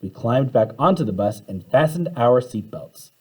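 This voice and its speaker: Guy doing a kids read-aloud, dramatic storytelling, very lively pitch, soft slightly husky voice. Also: normal speed, low-quality recording. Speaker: story reader. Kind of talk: children's read-aloud narration.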